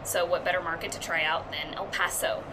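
A woman's voice: on the low side, fairly even intonation, a little fast, background noise. A young woman in her twenties, a TV news reporter, chatting upbeat and casually in an interview with many fillers.

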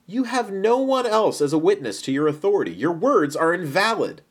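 A man voicing proud religious elders who scoff and accuse, loud and indignant, in a dramatized Bible story. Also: mid-range voice, wildly swooping pitch, normal speed, breathy edge, full character voice. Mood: challenging.

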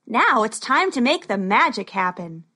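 A woman's voice: a little high, big pitch swings, moderate pace, sharp tone, full character voice. A woman voicing a brash, cheerful male wizard entertainer in a storybook, breezy and showy.

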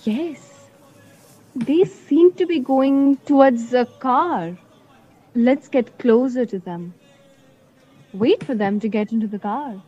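A woman's voice: on the high side, warm tone, husky, lo-fi sound. A woman acting in an audio drama as a confident leader coolly instructing her partner on a covert exercise. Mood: focused, urgent. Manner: instructing, deliberate, commanding.

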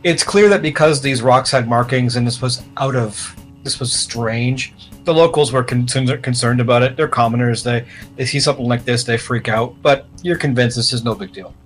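A man runs a tabletop role-playing game as game master, mid-pitched and matter-of-fact. He improvises his narration and explains as he goes, with soft guitar music underneath. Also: moderate pace. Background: plucked guitar music.

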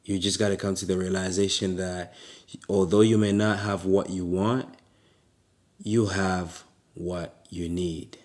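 A man from Uganda giving a casual motivational talk in a relaxed, halting way, with fillers and frequent laughs. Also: sits low. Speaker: motivational speaker.

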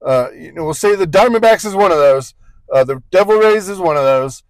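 A man with a fairly high voice chats loosely on a sports podcast, circling back and correcting himself as he goes. The sound is close and dry, with no room behind it. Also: animated, fairly quick, dry recording, low-quality recording. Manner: rambling, self-correcting, joking asides. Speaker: podcast co-host. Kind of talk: casual sports podcast chat.

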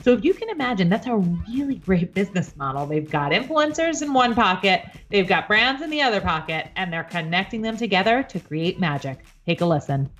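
A woman hosting an interview podcast, chatting enthusiastically and cheering her guest on with quick affirmations. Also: mid-range voice, animated, fairly bright tone, soft background music. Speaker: podcast host. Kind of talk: upbeat interview chat.

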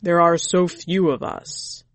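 Lady doing a gruff old-fashioned formal tiger for a story, low n slow, grave but soft hearted. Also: steady tone, no echo. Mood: melancholy. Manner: slow, formal, solemn speech. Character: grave, gruff, lonely male tiger, grumbling but tender.

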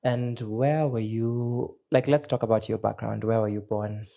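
A woman hosting a podcast, asking loose, rambling questions in a relaxed, joking way. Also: rumbling low, measured pace, gravelly. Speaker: podcast host. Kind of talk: casual interview chat.

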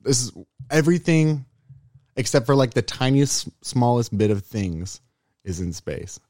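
A young man trading jokes on a casual podcast, recorded dry and close. He teases his co-host and boasts for laughs in an ordinary, mid-pitched voice. His bits ramble on deliberately. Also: animated, darkish tone, no room sound. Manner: playful, teasing, mock-boastful. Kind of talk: casual comedic podcast banter.

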